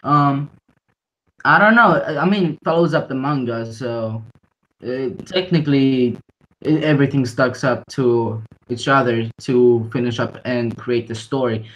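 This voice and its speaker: A man of medium pitch co-hosts a podcast. He speaks in an everyday, casual chatting style. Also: unhurried, a bit bright, low-quality recording. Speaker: podcast co-host. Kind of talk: casual chat.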